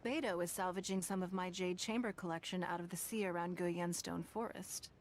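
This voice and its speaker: A woman playing a poised, wealthy, shrewd businesswoman in a video game, measured and formal with a coy edge. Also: lowish voice, fairly even intonation, a little hiss or hum, natural voice. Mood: composed, inviting.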